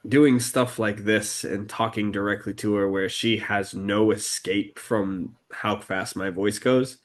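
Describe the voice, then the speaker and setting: A man co-hosting a podcast, talking slowly and deliberately in a casual chat, with frequent fillers and restarts. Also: fairly low pitch, steady tone. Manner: halting, many fillers and restarts, deliberately slowed speech. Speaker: podcast co-host. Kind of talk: casual conversational podcast talk.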